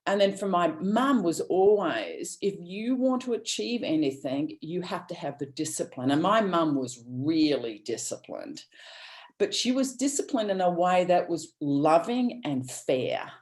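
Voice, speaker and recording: A woman's voice: fairly low pitch, animated, unhurried, a little mellow. A woman from Australia, giving an earnest, rambling motivational talk with many restarts over a video call. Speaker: guest speaker.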